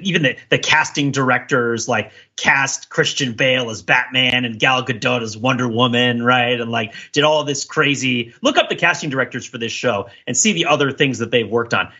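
A man with a husky voice hosting a podcast, casually analyzing things in a discursive way and often checking in with a "right?". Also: medium pitch, animated, brisk, hardly any pauses, bright, forceful tone. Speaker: podcast host. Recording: slightly dull, lo-fi sound.

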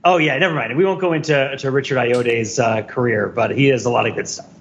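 A man's voice: medium pitch, fairly even intonation, fairly quick, lo-fi sound, slight background noise. A man co-hosting a podcast in casual, joking banter. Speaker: podcast co-host.

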